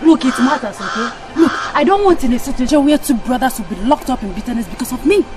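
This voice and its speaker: A woman's voice: sits high, lively intonation, fast talker. A woman playing a firm young woman who irritably turns down her boyfriend's brother.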